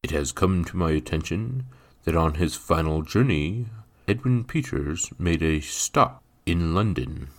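A man playing a low-voiced formal gentleman official. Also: animated. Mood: grave, formal. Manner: measured, formal. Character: formal, grave gentleman official delivering legal news. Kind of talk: dramatised audiobook character voice.

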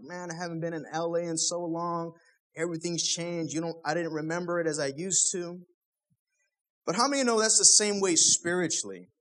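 A man's voice: sits high, fairly bright tone. A young man, a pastor, preaching a sermon in a casual, conversational way, full of rhetorical questions.

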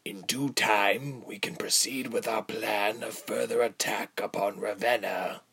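A man voicing a sinister commander in an audiobook, coarse and breathy, speaking slowly with quiet, cold derision. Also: fairly low pitch, steady tone, heavily acted. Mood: cold menace. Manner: slow, drawling, quiet and derisive. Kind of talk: audiobook character voice.

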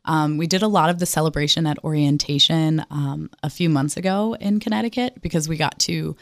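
Young woman chatting, low even-pitched voice. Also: fairly quick, no echo, studio quality. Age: young adult. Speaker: pageant titleholder guest. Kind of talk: chatty radio interview.

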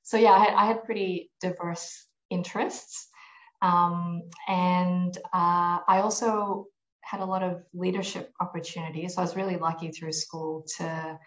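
Soft-spoken woman, roomy lo-fi sound. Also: fairly low pitch, level tone, a little slow. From grew up in Malaysia, US and New Zealand. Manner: thoughtful, many ums and restarts. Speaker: podcast guest, tax executive. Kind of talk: conversational interview answers.

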